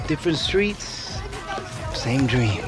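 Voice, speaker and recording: An actor playing a young British professional footballer, keen and easygoing, in a football video game story. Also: male voice, on the high side, heavily acted. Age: young adult.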